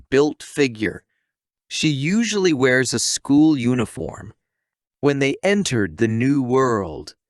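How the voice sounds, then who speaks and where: A man's voice: mid-range voice, a bit bright, no echo, studio quality. A man reading a scripted anime story recap as narrator.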